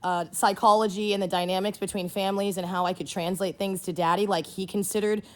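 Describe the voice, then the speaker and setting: A woman with a medium-pitched voice speaks in a casual, personal exchange. She is insistent, repeating and clarifying her point as she goes. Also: steady tone, fast.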